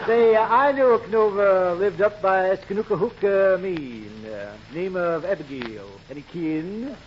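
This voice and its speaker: High-pitched, deadpan small-town old-timer. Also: male voice, a crowd in the background. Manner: deadpan, halting, repetitive yeps. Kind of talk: 1940s radio comedy acting. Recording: muffled, noisy old radio recording.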